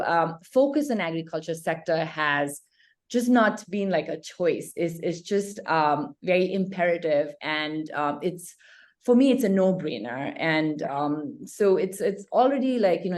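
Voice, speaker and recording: A woman from Nepal speaks earnestly on an online panel as a company founder. Her voice sits low for a woman, and she often restarts her sentences. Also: moderate pace, darkish tone. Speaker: startup CEO. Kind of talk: panel discussion.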